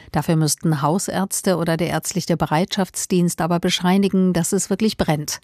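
A female correspondent reading a news report in a calm, scripted way. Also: lowish voice, dry recording, studio quality. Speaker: radio correspondent.

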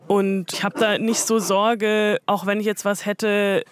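A woman's voice: medium pitch, little pitch movement, unhurried. A woman speaking reflectively in an interview about friendship. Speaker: interview guest. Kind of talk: personal conversation.

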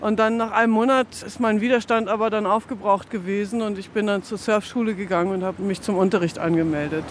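A woman's voice: medium pitch, steady tone, fast, hardly any pauses. A woman in her fifties, telling a casual, self-deprecating personal story in an interview. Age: 50s. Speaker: interviewee, late-starting surfer. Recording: field recording with faint outdoor noise.

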